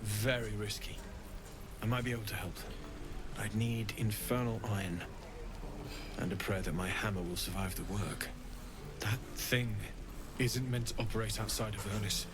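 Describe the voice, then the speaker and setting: An actor playing a friendly, grumbling fantasy blacksmith in a video game, curious and matter-of-fact. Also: male voice, lowish voice, moderate pace, background noise, lightly acted. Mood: intrigued concern. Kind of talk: video game character acting.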